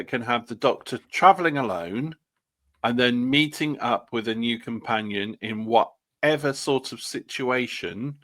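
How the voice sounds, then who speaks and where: A man with a middle-pitched voice chats as a podcast panellist. He sounds enthusiastic and informal, often stopping and restarting as he builds a point. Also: a bit bright. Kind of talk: casual panel discussion.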